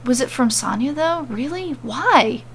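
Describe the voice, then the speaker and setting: A woman's voice: high voice, animated, measured pace, a bit bright, a little hiss or hum, pitched above the performer's own voice, full character voice. Blunt, fed-up fantasy heroine, read by a woman. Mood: snapping. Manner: blunt, sarcastic, snapping. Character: exhausted, angry, sharp-tongued woman wizard captive.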